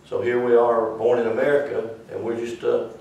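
A man's voice: mid-range voice, monotone, brisk, gravelly, a little mellow, dull audio, slight background noise. An older man with a Southern US accent, teaching a church class in a rambling, folksy, conversational way. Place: small church classroom, indoors. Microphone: far camera. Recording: echoey room from far off.

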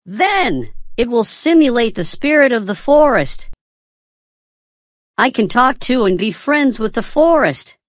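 A woman voicing a whiny, earnest little schoolboy in a scripted cartoon, speaking simply and deliberately. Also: fairly high pitch, big pitch swings, no room sound, clean studio sound. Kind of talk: slow scripted English-learning cartoon dialogue.

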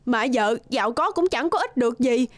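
A woman's voice: on the high side, expressive, normal speed, dry recording, natural voice. A woman playing a bossy, exasperated middle-aged wife in a children's fairy-tale cartoon, nagging and scolding. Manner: scolding, exclamatory.

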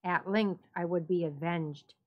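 A woman narrating a classic story as a dramatic reading, measured and deliberate. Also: fairly low pitch, steady tone, lo-fi sound.

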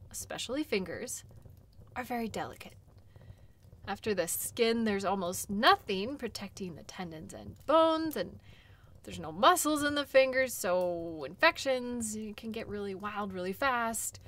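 Female, actress as kind outdoor sled guide for audio role play; gentle, reassuring, conversational, takes her time. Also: on the high side. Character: caring, chatty female dog-sled guide tending wounds.

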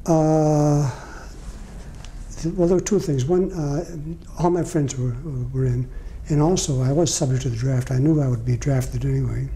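Older man in his 70s, deep dark tone, halting delivery, light hiss behind. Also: rough recording. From Portugal. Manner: hesitant, many fillers and restarts. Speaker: interviewee, veteran. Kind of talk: oral history interview. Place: library room.

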